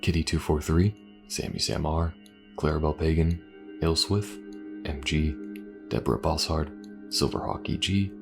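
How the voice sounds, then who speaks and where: A man's voice: extremely low pitch, steady tone, moderate pace, creaky, long pauses, music in the background. A man who created the show, reading scripted thanks and credits as its narrator.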